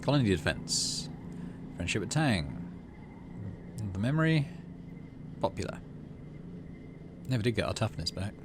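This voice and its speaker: A man's voice: fairly low pitch, big pitch swings, unhurried, pauses often, background noise. A man hosting a gaming video, reading story text aloud and adding muttered asides as he plays.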